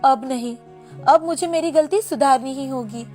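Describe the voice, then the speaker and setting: Storybook daughter, played by a woman: young bride, high voice, dramatic and pleading, light music behind. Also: normal speed, darkish tone, natural voice. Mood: shocked, remorseful. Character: vain, emotional young bride-to-be daughter, later remorseful.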